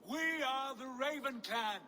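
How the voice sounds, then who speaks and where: A man's voice: extremely high pitch, measured pace, sharp tone, a little hiss or hum, heavily acted. An actor playing a proud, ambitious young Viking prince in a game cutscene, speaking formally and declaiming to his clan. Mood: rousing, defiant. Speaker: clan leader. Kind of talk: dramatic game cutscene acting.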